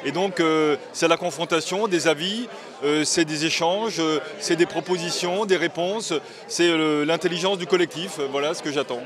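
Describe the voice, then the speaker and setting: Higher-pitched man amid crowd, being interviewed. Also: normal speed. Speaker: academic sociologist being interviewed. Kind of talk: interview answer. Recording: clear crowd chatter behind.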